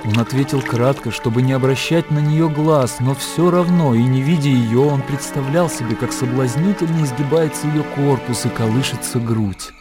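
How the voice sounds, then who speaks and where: A man's voice: medium pitch, normal speed, hardly any pauses, lightly acted. A man narrating a classic story in a Russian radio play, composed and literary in delivery. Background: music.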